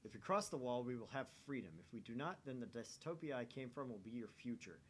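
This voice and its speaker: A man as a solemn time traveler, lively pitch. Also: fast talker, slight background noise, natural voice. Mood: portentous. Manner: formal, explanatory, portentous. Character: solemn time traveler from a dystopian future, cryptic and earnest.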